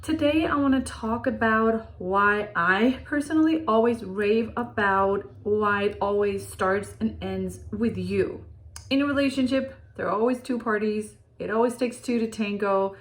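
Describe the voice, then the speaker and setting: A Danish-accented woman, a relationship coach, talks straight to her audience at a leisurely pace. Her delivery is earnest and searching, full of "um"s and restarted sentences. English is not her first language, and it shows in her phrasing. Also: medium pitch. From Denmark. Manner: unhurried, earnest, many fillers and restarts. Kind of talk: direct-to-camera talk to viewers.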